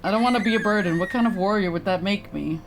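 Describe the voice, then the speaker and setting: A woman's voice: medium pitch, quick pace, a little hiss or hum, low-quality recording. A woman streamer giving blunt, sarcastic, casual commentary on a game. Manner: blunt, sarcastic, swearing, giggly. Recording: faint game music behind.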